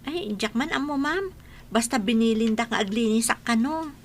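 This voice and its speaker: A woman's voice: on the high side, moderate pace, slight background noise, natural voice. A woman with an Ilocano accent playing an earnest, deferential young working student employed as a cleaner in a radio comedy. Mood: meek. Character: eager, respectful young female cleaner working through school.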